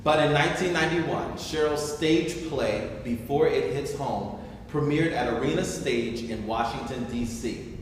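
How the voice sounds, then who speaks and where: A distant man's voice in a heavily echoing hall hosts a staged reading. He speaks at a medium pitch as narrator and presenter, over faint noise. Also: measured pace. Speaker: host reading stage directions. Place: large echoey room before a live stream. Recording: echoey hall, far mic, faint noise.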